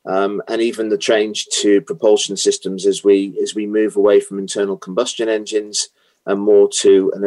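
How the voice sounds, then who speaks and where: A middle-aged British man talks through his answers with a fairly low voice. He hesitates often, filling gaps with ums and repeating words as he builds his sentences. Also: a little mellow. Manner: hesitant, many fillers and restarts. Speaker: guest, automotive consultant. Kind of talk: interview answers.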